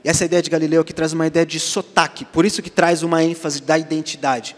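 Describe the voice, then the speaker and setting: A male pastor with a Brazilian Portuguese accent preaching a sermon, dropping to hushed lines and then rising to loud emphasis as he acts out the dialogue. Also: a little high, little pitch movement, fast talker. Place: church sanctuary. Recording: church hall reverb.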